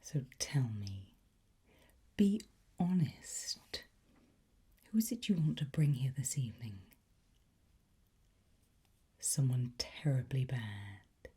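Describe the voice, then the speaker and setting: A woman's voice: rumbling low, animated, full character voice. Woman playing a whispering teacher of demon summoning. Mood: hushed, playful, intrigued. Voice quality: breathy, mostly whispered. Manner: slow, deliberate, teasing, softly encouraging. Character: demonology teacher, playfully conspiratorial woman giving a Latin lesson. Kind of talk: ASMR roleplay.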